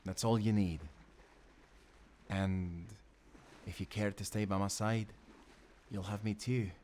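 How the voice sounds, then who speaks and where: A man's voice: lowish voice, steady tone, takes it slowly, a little mellow, a little hiss or hum. Man acting a loyal, earnest sailor. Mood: hopeful, then tender, devoted. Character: loyal, tender young sailor, devoted companion. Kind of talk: intimate nautical audio roleplay acting.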